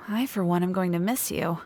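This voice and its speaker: A woman voicing a friendly, appreciative shopkeeper in a video game, chatty and gently teasing. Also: lowish voice, slight background noise. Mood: wistful. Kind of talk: scripted video game voice acting. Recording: music behind the voice. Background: background game music.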